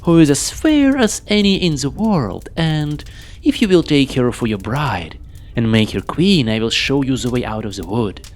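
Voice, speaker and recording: A man's voice: mid-range voice, big pitch swings, moderate pace, pitched above the performer's own voice, natural voice. A man voicing a sly, demanding old witch in a storybook, theatrical and insistent. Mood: coaxing, bargaining. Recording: clearly noisy home recording.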